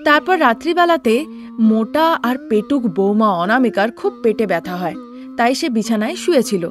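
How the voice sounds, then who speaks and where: Female cartoon narrator, slightly high, steady storytelling. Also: normal speed, soft background music.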